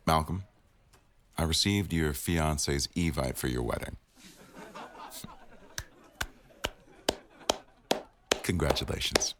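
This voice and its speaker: A man's voice: very deep voice, fairly bright tone. A man playing a stern, teasing office boss in a boyfriend roleplay audio, speaking in a wry, formal way. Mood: dry, formal. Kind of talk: boyfriend ASMR roleplay acting.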